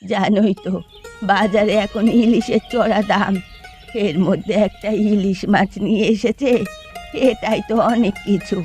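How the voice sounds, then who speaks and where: A woman's voice: medium pitch, steady tone, a little slow, darkish tone, soft background music, close to an everyday voice. A woman voicing a weary, grumbling old village mother-in-law in a Bengali cartoon. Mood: practical.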